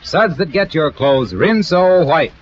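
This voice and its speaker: A man's voice: a little high, animated, measured pace. A man announcing a 1940s radio commercial as a jovial, emphatic salesman. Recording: dull, hissy old radio recording.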